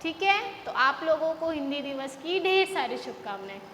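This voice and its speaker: Woman teacher, patient with small children, high voice, echoey room with faint noise. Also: sing-song, a bit bright. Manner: patient, explains slowly, addresses children as "beta"; reads poem lines slowly and repeats them for the class. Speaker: school teacher. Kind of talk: recorded class lesson with poem recitation.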